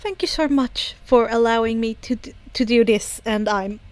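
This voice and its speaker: A woman's voice: fairly high pitch, normal speed, smooth, no room sound. A woman reading fan fiction aloud as an amateur audiobook narrator.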